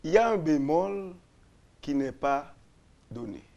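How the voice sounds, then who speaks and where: A man, a lawyer and radio guest, explaining constitutional articles slowly, repeating and restarting phrases. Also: on the high side, expressive, a little mellow, dull audio. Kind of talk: explaining constitutional law on a radio talk show.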